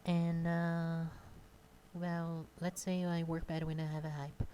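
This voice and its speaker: A young woman from Brazil with a Brazilian Portuguese accent, speaking shyly and haltingly in an unscripted casual monologue at a home computer desk, close to her microphone, with many pauses and fillers. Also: fairly low pitch, little pitch movement, mellow, a little hiss or hum. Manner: shy. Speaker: content creator.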